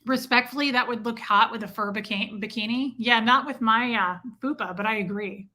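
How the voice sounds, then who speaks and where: Bright chatty woman reading live chat. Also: on the high side, fairly quick. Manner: chatty, reacts to viewer comments. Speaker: livestream host reading chat. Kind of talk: casual livestream chat. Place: home room with a heater.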